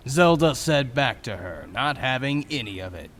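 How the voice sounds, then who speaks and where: A man's voice: medium pitch, animated, normal speed, fairly bright tone. A man reading scripted narration for a fantasy audio drama.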